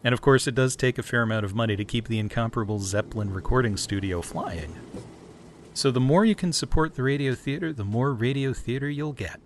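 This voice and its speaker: Lively male podcast host. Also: medium pitch, fairly quick, a little hiss or hum. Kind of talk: reading a membership appeal.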